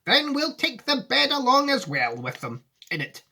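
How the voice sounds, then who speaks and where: A man reading a storybook aloud, voicing an excitable, commanding old factory owner in an emphatic manner. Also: very high voice, moderate pace, slightly rough, voice pushed up, full character voice. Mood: urgent, excited. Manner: emphatic, exclamatory.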